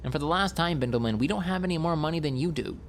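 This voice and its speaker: A man's voice: fairly high pitch, fast talker, a little hiss or hum, pitched above the performer's own voice, natural voice. A man voicing a grumpy, sardonic, footloose guardian in an audiobook, with a dry, teasing delivery. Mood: irritated, dry.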